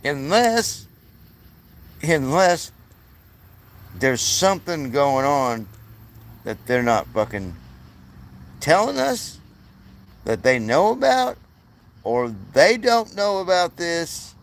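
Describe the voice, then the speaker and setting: A man hosting his channel, talking casually and profanely over his screen, rambling and excitable with many asides to viewers. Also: fairly high pitch, takes it slowly, long pauses, a little hiss or hum. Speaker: channel host. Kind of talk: casual screen-share commentary.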